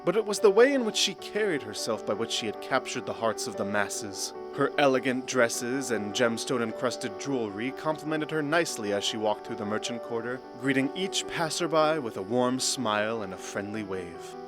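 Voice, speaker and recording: A man's voice: medium pitch, animated, normal speed, hardly any pauses. A man narrating a scripted fantasy story. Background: faint background music.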